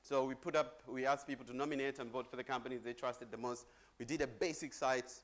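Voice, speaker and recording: A man's voice: medium pitch, steady tone, fast talker. A middle-aged man from Zambia giving a chatty conference presentation on stage, joking with the audience. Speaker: conference speaker.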